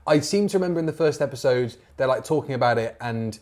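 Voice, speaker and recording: Male podcast co-host, mid-pitched voice, casual and wry, relaxed chat. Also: fast talker. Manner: conversational, wry asides.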